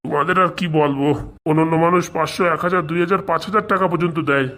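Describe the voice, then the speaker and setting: Woman voicing a rapid, nagging male official. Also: sits low, fairly bright tone. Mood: pushy, grumbling. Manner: nagging, colloquial. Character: grasping, pushy male official fishing for tips. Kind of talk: comic cartoon dialogue.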